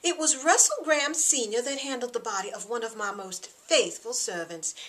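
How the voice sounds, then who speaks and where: A woman's voice: fairly high pitch, normal speed, fairly bright tone, voice pushed up, close to an everyday voice. A woman voicing a gushing, chatty middle-aged mother and gracious lady of the house in an audiobook. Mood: gushing, sociable.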